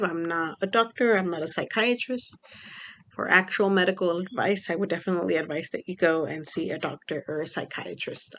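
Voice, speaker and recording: Gravelly-voiced woman from Mexico, rambling vlog. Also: fairly low pitch, fairly bright tone, slight background noise. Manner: rambling, many fillers. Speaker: vlogger. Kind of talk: casual personal vlog.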